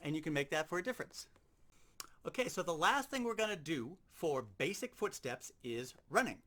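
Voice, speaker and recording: High-pitched, lively man giving instruction. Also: normal speed. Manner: informal, step-by-step explaining. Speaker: sound effects instructor. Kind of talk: instructional demonstration talk.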